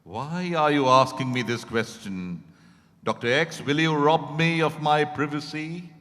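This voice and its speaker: A man's voice: fairly high pitch, a little slow, a bit bright. An actor playing a proud, defensive, solitary man in an emphatic, formal theatrical dialogue. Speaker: stage reader in a dramatized reading. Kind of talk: theatrical dramatized reading. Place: event hall at a hotel, live stage reading. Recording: echoey hall with long reverb.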